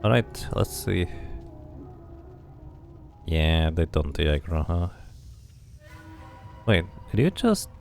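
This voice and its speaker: Male game streamer, low and gravelly, musing through a playthrough and reading text aloud over faint music. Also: expressive, extremely slow, long pauses, darkish tone. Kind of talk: casual game commentary mixed with read-aloud item text.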